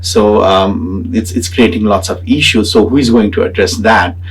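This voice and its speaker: A man with a Nepali accent explaining his research as a graduate student in a halting way, pausing often as he talks. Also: lowish voice, breathy edge, poor audio. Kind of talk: semi-prepared explanatory talk about own research.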